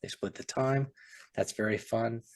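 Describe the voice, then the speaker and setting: A man's voice: mid-range voice, fairly even intonation, normal speed, no echo. A man, an author co-hosting a podcast, chatting casually and rambling, often trailing off with "so". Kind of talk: casual chat.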